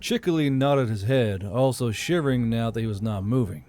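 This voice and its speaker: A man's voice: mid-range voice, normal speed, dark tone, a little hiss or hum. A man narrating a fantasy story as an audiobook, as the host of his own channel. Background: background music.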